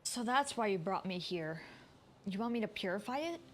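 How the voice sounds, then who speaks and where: A woman's voice: medium pitch, expressive, moderate pace. A woman game streamer giving casual live commentary and reading along with dialogue. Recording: game music behind the voice.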